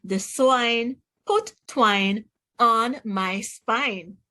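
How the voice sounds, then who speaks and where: A woman's voice: a little high, extremely slow, sharp tone. A woman with a Jamaican accent reads aloud to children as a teacher, enunciating words in an instructive way and putting questions to the listener. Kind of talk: children's read-aloud phonics lesson.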